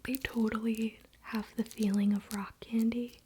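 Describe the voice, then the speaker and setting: A woman whispering breathily and softly in an unhurried, casual, chatty ASMR style, close to the microphone. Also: fairly high pitch, little pitch movement, a little hiss or hum. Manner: unhurried, soft-spoken, informal. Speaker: ASMR creator and crystal seller.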